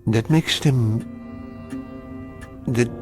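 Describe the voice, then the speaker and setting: An older actor playing an old man who calmly and gravely defies his would-be killer, in a vintage television drama. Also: medium pitch. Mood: sorrowful. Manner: measured, grave, rising to bursts. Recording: vintage broadcast soundtrack with music.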